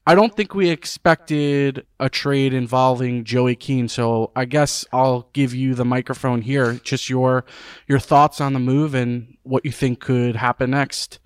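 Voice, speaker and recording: A man hosting a sports podcast, talking in a friendly, steady, conversational way. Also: mid-range voice, a little slow, clean tone, no echo. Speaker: podcast host. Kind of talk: casual sports podcast chat.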